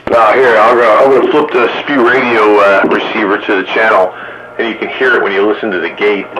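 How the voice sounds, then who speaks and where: A man's voice: lowish voice, steady tone, a little fast. A man chatting casually and colloquially as host of an on-air CB radio roundup, heard over a radio transmission. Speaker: amateur radio operator hosting an on-air roundup. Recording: noisy hissy muffled two-way radio transmission.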